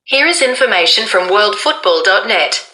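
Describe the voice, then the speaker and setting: A bright synthetic woman's voice reads out answers as a phone assistant. It sits at a middling pitch and plays from a phone speaker with audible room echo. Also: lively intonation, moderate pace. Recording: roomy, played from phone speaker.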